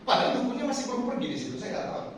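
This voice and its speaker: A man preaching a sermon, animated and constantly addressing the congregation directly. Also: very high voice, very fast. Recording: echoey hall, speaker far from the mic, faint noise.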